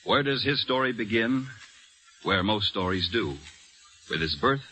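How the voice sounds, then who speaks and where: An actor playing an Army medic, narrating in a measured storytelling way in an old-time radio drama. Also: male voice, on the low side, animated, pushed and bright, poor audio. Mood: sincere, reflective. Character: Army medic narrator fondly recalling an officer. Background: music.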